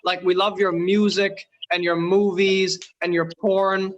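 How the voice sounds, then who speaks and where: A young man from Bangladesh with a Canadian-tinged accent, doing a dry, deadpan stand-up set over a video call from home. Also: sits high, a little slow, dull audio. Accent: Canadian-influenced English. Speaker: stand-up comedian.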